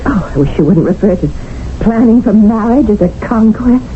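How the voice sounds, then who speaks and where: An actress playing a prim, earnest English spinster in colonial India who talks in a stiff, precise way. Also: female voice, mid-range voice, animated, normal speed, close to an everyday voice. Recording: dull 1970s radio broadcast with faint noise.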